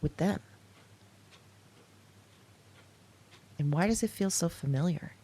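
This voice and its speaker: A woman playing a troubled, angry woman in hiding who records a private log, speaking in halting, brooding phrases in an intimate audio drama monologue. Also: lowish voice, warm tone, breathy edge. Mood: agitated, bitter.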